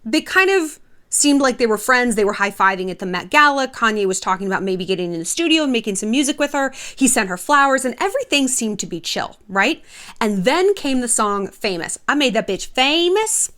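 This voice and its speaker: A woman's voice: on the high side, animated, fairly quick, fairly bright tone. A woman hosting her own video, chatting casually and gossipy to camera in a sassy, irreverent way with some swearing. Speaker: host of an online video channel.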